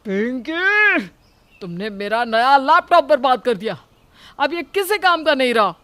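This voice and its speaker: A woman's voice: high-pitched, big pitch swings, unhurried, fairly bright tone, heavily acted. Woman playing an exasperated, pleading young husband. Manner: pleading, dramatic complaining.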